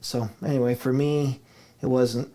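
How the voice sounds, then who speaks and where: A man's voice: mid-range voice, flat delivery, unhurried, dark tone. A middle-aged man from Idaho, a guest in a casual interview, telling rambling stories and chuckling often. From Idaho, United States. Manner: rambling storyteller, chuckles often, restarts sentences.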